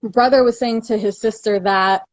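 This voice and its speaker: A woman from the United States, a guest on a video call, talking in an upbeat, quick way with frequent "like" and "you know". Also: a little high, fairly even intonation, no echo, lo-fi sound. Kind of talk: casual video call chat.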